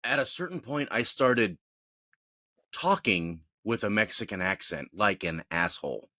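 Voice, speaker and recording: A man with a bright, forceful voice recorded dry and close. He co-hosts a casual podcast and rants about his pet peeves. He stays opinionated while poking fun at himself. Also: on the low side, unhurried, no room sound. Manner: opinionated, animated, self-mocking. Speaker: podcast co-host. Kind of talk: casual ranting chat.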